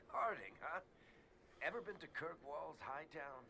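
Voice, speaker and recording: A man's voice: a little high, expressive, a little slow, gravelly, bright, forceful tone, a little hiss or hum, exaggerated voice. A man voicing a wry, teasing adventurer companion with a drawling delivery in a video game. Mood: amused, teasing. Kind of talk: game dialogue acting.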